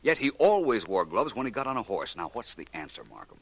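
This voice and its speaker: An American actor playing a shrewd amateur detective in a 1940s radio mystery, articulate, coolly logical and slightly superior. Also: male voice, lowish voice, big pitch swings, fast talker, fairly bright tone, breathy edge, exaggerated voice, rough recording. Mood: assured, insistent. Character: shrewd, unhurried male amateur detective solving a murder.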